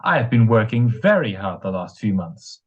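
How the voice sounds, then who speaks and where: A woman playing a smug, languid husband in an old-time radio drama, answering with husky, mock-polite sarcasm. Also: rumbling low, sing-song, moderate pace, narrow, tinny audio, heavily acted. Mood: mock-polite, irritated. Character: smug, languid, sardonic husband, lazily mocking.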